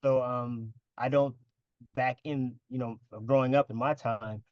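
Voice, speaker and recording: A man's voice: medium pitch, steady tone, no echo. Earnest middle-aged man on a muffled line. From United States. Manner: earnest, measured, with fillers and repeats. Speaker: podcast guest. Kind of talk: casual interview.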